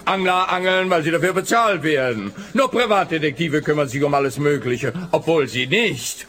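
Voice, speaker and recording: An actor playing a grumpy, dismissive homicide officer in a radio play, sardonic. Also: male voice, a little high, animated, normal speed, a bit bright. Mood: grumpy, sarcastic. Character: grumpy, sarcastic male homicide police officer. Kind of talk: German-language radio play dialogue.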